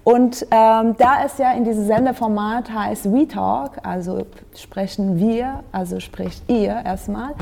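A woman hosting a panel talk with a somewhat high, mellow voice. She sounds bubbly and upbeat, with lots of small laughs as she hands the floor to her guests. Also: unhurried, vocal fry, few pauses. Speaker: host. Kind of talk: casual panel moderation.

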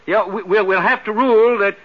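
An actor playing a genial, flustered, folksy county fair official in a 1950s radio western, stammering and fussing. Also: male voice, high voice, brisk. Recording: dull 1950s radio broadcast.

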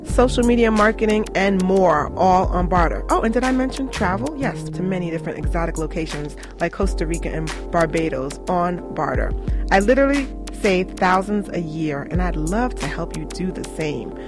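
A woman's voice: lowish voice, lively intonation, moderate pace. Emphatic woman reading a radio ad over music. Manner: promotional, emphatic. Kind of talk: scripted radio ad read.

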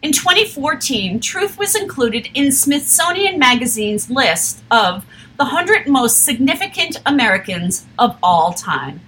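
A woman reading a history text aloud in a steady, measured way, with an occasional slip. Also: high voice, low-quality recording.

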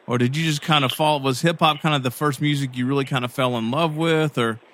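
A man's voice: medium pitch, fairly even intonation, brisk, fairly bright tone. A man co-hosting a casual interview, asking long, loose questions full of slang and restarts. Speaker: podcast co-host.

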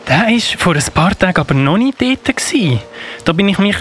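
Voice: mid-range voice, very animated, fairly quick, fairly bright tone, faint music behind. A performer playing a timid young boy, the hesitant little brother in an amateur radio play, stammering and repeating words. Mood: eager, unsure.

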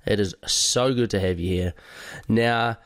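A man hosts a podcast, his nose blocked up from a cold. His voice sits a little low and he talks in a chatty, upbeat way full of casual slang. The recording is dry and close, with no room sound. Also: measured pace, no room sound. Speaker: podcast host.

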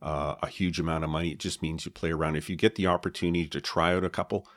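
A man with a low voice, co-hosting a podcast and explaining his gear in a relaxed way, with many fillers, on a dynamic mic with a pop filter. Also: fast, no echo. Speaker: podcast co-host. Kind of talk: casual chat about podcast gear. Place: home podcast setup. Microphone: dynamic podcast mic with pop filter and foam cover.

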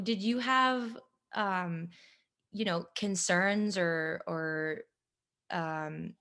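A woman hosting a conversational podcast, putting questions haltingly and rephrasing as she goes. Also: lowish voice, a bit bright. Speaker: podcast host, interviewer. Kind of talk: conversational interviewing.

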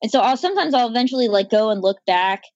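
A woman's voice: fairly high pitch, lively intonation, fast, a little mellow, no room sound, muffled recording. Chatty young woman with slight Southern accent. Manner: chatty, wry, many fillers and restarts. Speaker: guest, architecture blogger. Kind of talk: casual interview chat.

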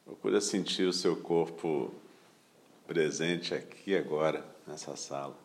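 Meditation teacher, male, Brazilian Portuguese accent, extremely low voice, calm instruction in short, spaced phrases. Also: a little slow. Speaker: meditation teacher leading a guided practice.

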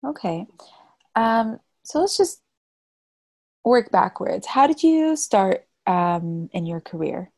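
A woman hosting an interview talk show, reading a scripted opening and then asking relaxed questions. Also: mid-range voice, takes it slowly, no echo.

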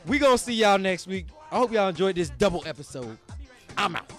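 A man's voice: high-pitched, expressive, a little fast, soft background music. A man hosting a casual podcast, joking and laughing often as he chats with friends. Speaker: podcast host. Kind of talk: casual chat.

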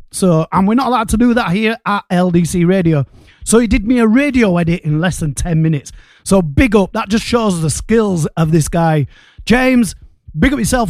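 High-pitched male radio DJ. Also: darkish tone, no echo, studio quality. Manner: informal, talks in slangy hype phrases. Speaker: radio DJ and show host. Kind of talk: casual music radio presenting with shout-outs. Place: radio studio. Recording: music playing under the voice. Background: music.

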